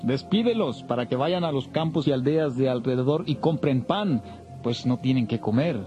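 Male actor as earnest, worried follower, biblical drama; soft dark tone, lo-fi, light music. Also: mid-range voice, expressive, moderate pace, natural voice. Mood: concerned, urging. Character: concerned male disciple advising his master. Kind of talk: dramatized audio Bible acting. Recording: dull lo-fi radio-like audio, faint music.

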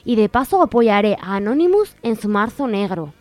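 A woman's voice: mid-range voice, sing-song, normal speed, darkish tone, no room sound, natural voice. A woman playing a naive, indignant posh young woman in a parody advice letter, with affected, melodramatic talk. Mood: snobbish, dismayed.

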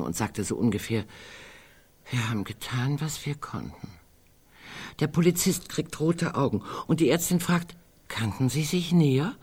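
An actress in a radio play voicing a morbid, sardonic woman who muses bluntly among friends, sometimes dropping to hushed tones. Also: very deep voice, breathy edge, full character voice.

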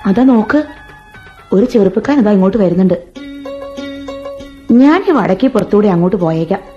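Radio play: actress as a chatty, sharp-tongued maid, mellow tone, dull old broadcast sound. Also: female voice, medium pitch, lively intonation, a little fast, natural voice. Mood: gossipy. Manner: gossipy, wry, colloquial. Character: gossipy village housemaid Meenakshi, sharp-tongued. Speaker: radio drama actor. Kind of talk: 1980s Indian radio play acting. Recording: dull old radio recording with faint music.

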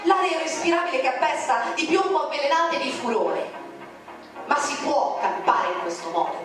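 A woman's voice: sits high, expressive, moderate pace. A woman narrator reciting a poem in a declamatory, indignant manner. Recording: very echoey, distant, music behind.

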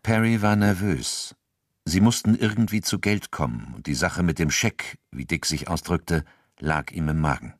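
A man's voice: deep voice, expressive, moderate pace, no room sound, studio quality. A man narrating a radio drama from a script.

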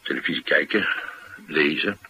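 An actor playing a dry, sardonic, reticent former bodyguard troubled by an old head wound, in a Dutch radio drama. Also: male voice, a bass voice, normal speed, sharp tone, a little hiss or hum, exaggerated voice, poor audio. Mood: dry, guarded.

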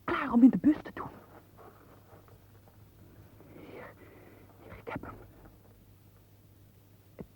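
A woman acting a frightened, urgent young woman in a Dutch radio play, breathy and whispering. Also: high-pitched, takes it slowly, pauses often, lo-fi sound, noisy background. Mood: urgent, shaken. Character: frightened, urgent young woman urging her companion to flee.

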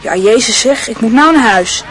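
A woman's voice: fairly high pitch, expressive, moderate pace, a bit bright, soft background music, poor audio. A woman playing a gossipy, knowing schoolboy in a radio drama, cocky and conspiratorial.

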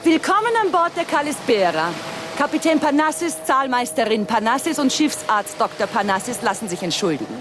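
An actress playing a stern, bossy stewardess in a comic radio play, reciting formal courtesies with menacing politeness. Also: female voice, fairly high pitch, sing-song, brisk. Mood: falsely welcoming. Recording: noisy outdoor ambience behind voice.

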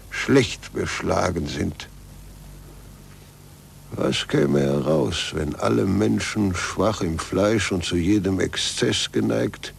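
An actor playing a stern, zealous churchman in a 1940s German radio drama, declamatory and deliberate, with a gravelly voice. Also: fairly low pitch, darkish tone, exaggerated voice. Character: stern, zealous old churchman, a cardinal inquisitor. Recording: noisy 1940s radio recording with hum.

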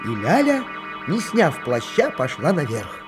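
A husky-voiced man narrating a children's radio play as its storyteller. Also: a little high, huge pitch swings, unhurried, over music.

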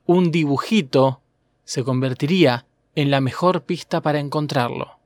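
A man's voice: on the high side, normal speed, darkish tone, no echo. A man narrating a scripted documentary podcast. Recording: dry studio voice over piano music. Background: piano music.

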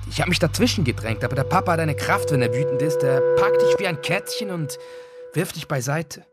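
A man's voice: fairly high pitch, lively intonation, brisk, over loud music. A man acting a first-person radio drama monologue, telling it in a plain, conversational way. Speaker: actor narrating as a son.

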